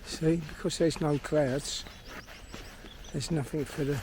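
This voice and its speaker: A man's voice: fairly high pitch, fairly even intonation, slow pace, vocal fry, a little mellow. Sweary middle-aged London man outdoors. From London, England. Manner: sweary, blunt, chatty. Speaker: vlogger, filmmaker. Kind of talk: rambling casual monologue while walking. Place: outdoors in a park. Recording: outdoor background noise. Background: birdsong and outdoor ambience.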